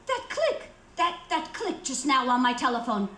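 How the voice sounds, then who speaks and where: A woman's voice: sits high, very animated, normal speed, bright, forceful tone, heavily acted. A woman playing a fretful, demanding invalid who is alone and frightened, repeating herself breathlessly in a radio suspense play. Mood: frightened, suspicious. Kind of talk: radio suspense drama acting. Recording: roomy echo with faint background noise.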